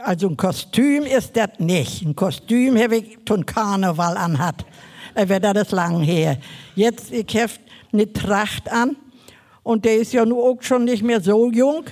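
An older woman with a Mecklenburg Low German accent, chatting as a guest. She rambles a little, working into long stories. Her voice sits at an ordinary middle pitch. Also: measured pace, darkish tone. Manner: chatty, rambling dialect speech. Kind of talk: casual chat on stage.

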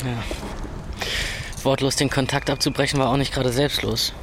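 A man's voice: mid-range voice, little pitch movement, moderate pace, noisy background, natural voice. An actor in his twenties playing a laid-back, defiant young music club owner in a naturalistic radio drama. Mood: awkward, dry. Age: 20s.

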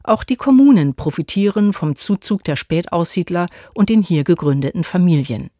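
A woman's voice: on the low side, dry recording. Woman reporter reading a dry narration. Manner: measured, even reading. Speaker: radio reporter. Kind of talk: scripted feature narration.

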